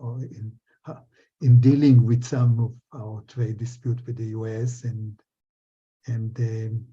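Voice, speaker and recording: An older man from Israel answers interview questions reflectively. His voice is very dark and husky, and he speaks haltingly, circling back over his words. The recording sounds dull and lo-fi. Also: medium pitch, little pitch movement. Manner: halting, repeats words and restarts often. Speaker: interviewee, business professor.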